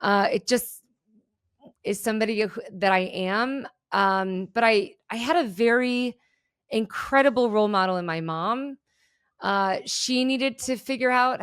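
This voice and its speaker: A woman's voice: fairly high pitch, a bit bright, clean tone. A middle-aged woman from Germany, a native German speaker, telling her story with energy and candor as a podcast guest. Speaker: guest, keynote speaker and entrepreneur. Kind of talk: podcast interview.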